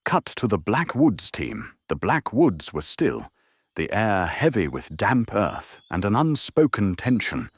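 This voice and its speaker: A man's voice: lowish voice, huge pitch swings, a little slow, breathy edge, dry recording, clean studio sound. A man delivering dramatic scripted narration for an audio drama. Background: faint music.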